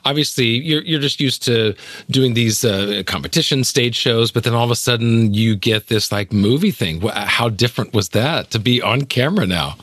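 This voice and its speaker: A man's voice: mid-range voice, normal speed, fairly bright tone, no room sound, studio quality. A man speaking as a podcast host in a conversational interviewing style.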